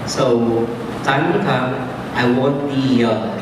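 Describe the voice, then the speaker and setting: A man's voice: mid-range voice, steady tone. Filipino guy presenting at a conference, stop-start delivery, far from the mic, big echoey hall with noise. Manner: halting, with frequent restarts and "okay?" check-ins. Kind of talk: academic conference talk.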